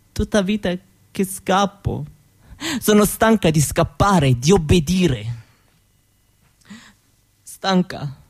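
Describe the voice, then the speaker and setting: Worn-out begging mom, roma accent, broken italian, slow n repetitive, bitter theatre monologue. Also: female voice, on the low side, mellow. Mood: tired, lost. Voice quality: broken, foreign-sounding Italian. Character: weary, bitter Roma mother who begs on the streets.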